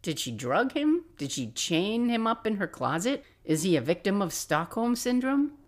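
An older woman hosting a podcast, telling personal stories in a casual, reflective, confiding and expressive way. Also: medium pitch, normal speed. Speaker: podcast host.

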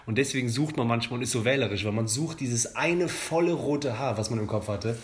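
A man's voice: mid-range voice, fairly quick. A man in his thirties telling stories in a casual chat and poking fun at himself. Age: 30s. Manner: storytelling, self-mocking. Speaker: podcast co-host.

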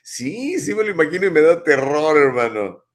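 A man's voice: a little high, sing-song, normal speed. A man, hosting a live show and commenting on viewer messages with emphatic rhetorical questions. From Mexico. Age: 50s. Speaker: talk show host.

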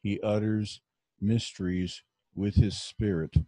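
A man in a study group who reads scripture aloud slowly and carefully. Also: on the low side, little pitch movement, dark tone, dry recording. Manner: slow, careful reading.

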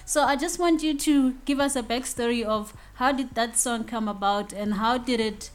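Woman, higher-pitched, polite interviewer, hesitant questions. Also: moderate pace.

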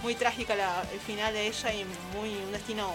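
A woman's voice: mid-range voice, flat delivery, fairly quick. A young adult woman with an Argentine accent, nervous and easily flustered, presenting an informal radio column on film and series and correcting herself as she goes. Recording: music playing behind the voice. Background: music.

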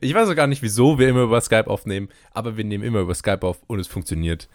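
A young man co-hosting a casual comedy podcast, joking in a dry, deadpan way with playful asides. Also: lowish voice, very fast, no room sound. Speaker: podcast co-host. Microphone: remote call recording.

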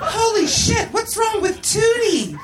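A woman's voice: high voice, big pitch swings, normal speed, noisy background, voice pushed up. A woman voicing an oblivious, breezy stoner teenage girl who tends to exclaim, in a comic story reading. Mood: breezy surprise.

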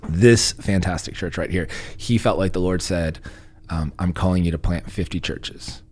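A very deep, gravelly male voice, a little husky and stuffy from a lingering cold. He talks casually and tells stories with a dry, joking streak. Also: moderate pace, a little mellow. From Texas. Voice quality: raspy, deep. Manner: joking, storytelling. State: congested from a cold. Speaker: podcast guest, church leader. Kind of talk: casual podcast conversation.

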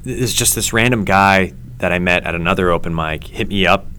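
A comedy host telling stories in a casual chat, rambling and halting with many ums and restarts. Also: male voice, sits low, bright, forceful tone, slight background noise.

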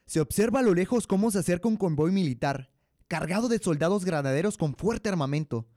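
A man's voice: high-pitched, expressive, fairly quick, mellow, no room sound, studio quality. A young man with a Mexican accent narrating a scripted radio drama. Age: young adult.

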